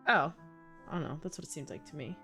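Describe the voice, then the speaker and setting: A woman's voice: low voice, quick pace, bright, forceful tone, soft background music. A woman with a New York accent giving casual livestream game commentary, thinking aloud in loose, chatty phrasing. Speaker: streamer.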